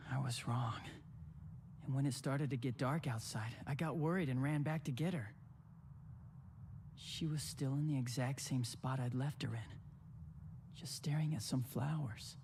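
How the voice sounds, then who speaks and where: A man's voice: medium pitch, darkish tone, a little hiss or hum, lightly acted. A man voicing a blunt, hot-headed young man who protects his younger sister. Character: brash protective young man, older brother. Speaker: young hero of the story.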